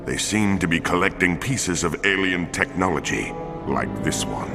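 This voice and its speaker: A man voicing a formal, measured four-armed alien lawman in a video game cutscene. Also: deep voice, expressive, creaky, in a large hall. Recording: game audio with music underneath.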